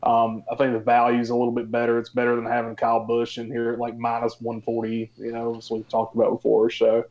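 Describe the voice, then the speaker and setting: A male betting analyst talks through his picks in a level, fairly flat delivery. He is conversational and matter-of-fact, pausing to gather his thoughts, and the audio is a little dull. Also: mid-range voice. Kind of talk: casual betting picks talk.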